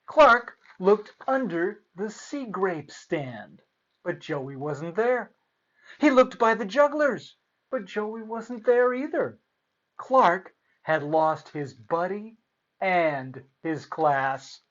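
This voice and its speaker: Animated, unhurried male children's storyteller. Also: high-pitched, dull audio. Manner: playful, theatrical. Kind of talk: animated read-aloud and presenting for children.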